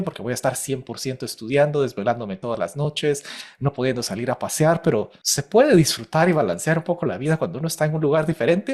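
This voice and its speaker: A man with a middle pitch talks quickly. He strings his questions together at length, acting out what people might say. His voice keeps pushing forward without pausing much. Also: a little mellow. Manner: long, winding questions with hypothetical quotes. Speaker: interviewer, host. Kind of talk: conversational interview questions.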